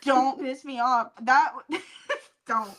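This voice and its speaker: A high-pitched woman with a bright, forceful voice co-hosts a casual comedy podcast. She tells stories with heavy emphasis and plenty of swearing. She likes to repeat phrases to drive them home. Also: steady tone, takes it slowly. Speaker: podcast co-host. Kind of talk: casual comedic chat, storytelling.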